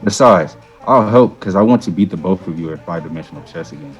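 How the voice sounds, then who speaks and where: Man playing cocky slacker student, lively low voice. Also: quick pace, highs cut off, soft background music. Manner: flippant. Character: cocky slacker male student gamer, Gabe. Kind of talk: amateur audio drama acting.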